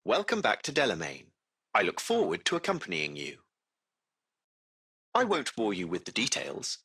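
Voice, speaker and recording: A polite ai cab driver from a game, male, formal butler talk, slightly husky n gravelly, curious. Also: lowish voice, wildly swooping pitch, normal speed, sharp tone, full character voice. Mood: courteous, formal. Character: courteous, curious AI taxi chauffeur, male-voiced machine. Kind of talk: scripted video game character dialogue.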